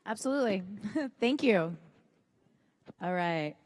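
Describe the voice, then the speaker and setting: Woman moderator, slow unhurried cues, big reverberant room. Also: mid-range voice, expressive, a little mellow. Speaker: panel moderator. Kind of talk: short moderator interjections. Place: large convention hall. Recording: reverberant convention hall.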